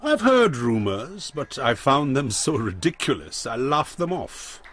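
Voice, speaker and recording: An actor playing a smug, menacing crime boss in a radio drama, with an Indian accent, mock-courteous and taunting, pausing deliberately. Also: male voice, medium pitch, sing-song, fairly bright tone.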